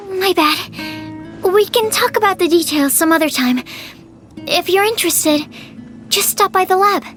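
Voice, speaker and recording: Very high-voiced modest alchemist girl. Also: takes it slowly, a bit bright, full character voice. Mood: apologetic. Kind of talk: anime game voice acting. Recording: faint music behind voice.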